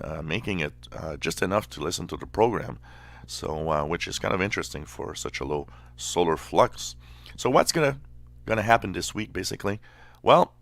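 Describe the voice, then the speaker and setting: Very deep-voiced man, halting casual explainer. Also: a bit bright. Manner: halting, hesitant delivery with many pauses. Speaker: radio hobbyist channel host. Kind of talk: casual unscripted explainer commentary.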